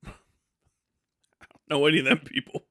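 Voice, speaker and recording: A man live streaming, rambling comedically and casually to his chat with lots of swearing, folksy slang and animated, exaggerated storytelling. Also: fairly high pitch, normal speed, no echo, studio quality. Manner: profane, folksy slangy phrasing.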